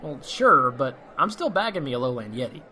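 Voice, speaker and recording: An actor playing a dry, deadpan, skeptical sidekick in a comedic fantasy audio drama. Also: male voice, medium pitch, a little fast, slight background noise. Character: deadpan male skeptical sidekick at a magic convention.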